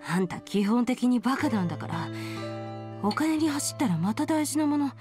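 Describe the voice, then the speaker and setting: An actress playing a frank, affectionate young woman, gently scolding and encouraging, in video game dialogue. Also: fairly high pitch, sing-song, moderate pace. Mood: tender, chiding. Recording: guitar music under the voice.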